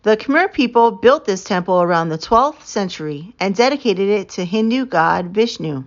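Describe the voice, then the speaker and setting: Good-natured young scholar man played by a female reader, storybook, informative and eager, lively intonation. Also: medium pitch, moderate pace, dull audio, close to an everyday voice. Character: studious, good-natured young male archaeologist.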